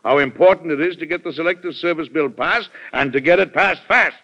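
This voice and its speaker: An actor playing an earnest, courteous but weary wartime American president in an old-time radio drama. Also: male voice, fairly high pitch, a little fast, creaky. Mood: resolute. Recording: muffled old radio broadcast recording.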